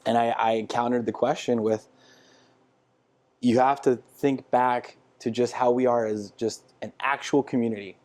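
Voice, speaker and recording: A man's voice: mid-range voice, steady tone, a little slow, a little mellow. A young man hosting a podcast in casual chat, talkative and eager, encouraging his guest as he listens. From San Diego, California. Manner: chatty, enthusiastic, encouraging listener. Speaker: podcast host.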